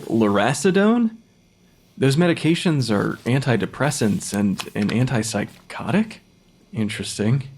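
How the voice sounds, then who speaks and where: An actor playing a dry, matter-of-fact forensic pathologist who questions the dead, in a naturalistic audio drama. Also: male voice, mid-range voice, a little mellow.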